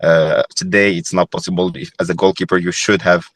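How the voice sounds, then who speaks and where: A man's voice: low voice, level tone, a little fast. A young man from Morocco, a former goalkeeper, talking thoughtfully as a podcast guest, with frequent fillers and restarts. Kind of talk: reflective podcast interview.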